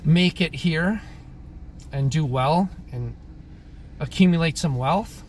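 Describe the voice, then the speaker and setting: A man in his thirties, originally from Croatia, talking through his opinions in a casual monologue, pausing often and clearing his throat. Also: on the high side, a little hiss or hum. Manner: halting, frequent throat clearing and restarts. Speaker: vlogger. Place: in Alberta, Canada.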